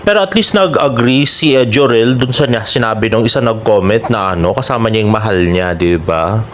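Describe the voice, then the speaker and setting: A man's voice: lowish voice, brisk, fairly bright tone, a little hiss or hum. A man with a Filipino accent hosts a fan vlog, giving casual, teasing and jokey celebrity gossip commentary in mixed Tagalog and English. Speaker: celebrity fan vlogger and host.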